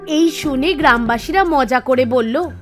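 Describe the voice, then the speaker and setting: High-pitched woman storyteller over music. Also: measured pace. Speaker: narrator. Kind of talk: scripted storytelling narration for an animated devotional tale. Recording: music bed under the voice. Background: background music.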